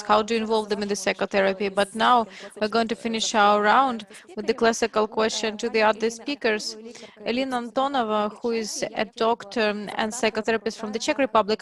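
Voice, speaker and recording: A woman speaking in an online panel discussion, measured, with frequent fillers. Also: fairly high pitch, fairly bright tone, rough recording.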